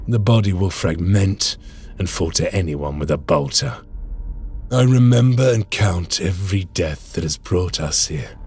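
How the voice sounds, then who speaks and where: A solemn, gravelly space marine commander. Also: male voice, deep voice, full character voice. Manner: solemn, grandiose, measured oratory. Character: stern, zealous male space marine commander, vengeful and devout. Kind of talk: dramatic video game cinematic acting. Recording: dramatic music behind the voice.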